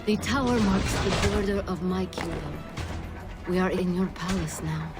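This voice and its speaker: A woman's voice: lowish voice, fairly even intonation, normal speed, natural voice. A woman playing a mystical spirit queen in a video game, composed and formal with a dry wit. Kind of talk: video game cutscene acting. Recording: game soundtrack mix with loud music. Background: loud music.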